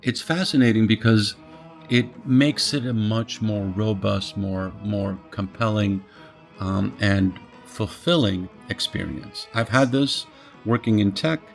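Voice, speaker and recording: Gravelly low-voiced man from Poland. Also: slow, faint music behind. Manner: thoughtful, searching, restarts phrases. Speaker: interviewer. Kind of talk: reflective conversation.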